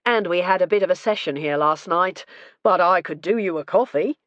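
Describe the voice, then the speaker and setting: A hearty, talkative retired man, as a woman reader puts him on, pitched above her own low voice and bubbling with pride. The recording is dry and a little dull. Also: brisk, fairly bright tone, no echo, lightly acted. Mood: jovial. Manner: jovial, chatty. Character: brisk retired man, proud cheerful host.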